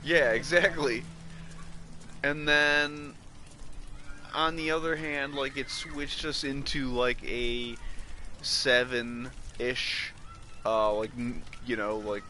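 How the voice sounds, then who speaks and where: A man's voice: mid-range voice, fairly even intonation, slow, pauses often, a little hiss or hum. A man giving casual, slangy and profane game commentary as a podcast host. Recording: loud game music behind voice.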